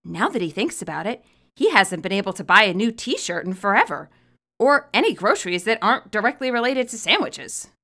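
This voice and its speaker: A woman reading her own fan fiction aloud as expressive, scripted story narration. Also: mid-range voice, brisk.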